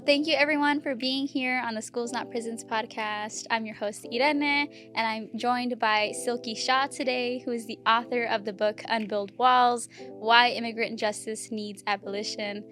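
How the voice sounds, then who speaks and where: A woman's voice: fairly high pitch, fairly even intonation, normal speed, fairly bright tone, faint music behind. A woman hosting a podcast, first reading a prepared script and then chatting casually with her guest using fillers. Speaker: podcast host. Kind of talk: scripted intro then casual interview chat.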